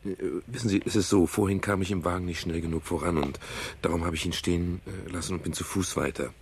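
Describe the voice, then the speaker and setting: A man's voice: low-pitched, steady tone, fast. An actor playing a weary, world-worn male private detective who acts and narrates in a German radio crime drama. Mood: gently concerned. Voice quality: gravelly, husky.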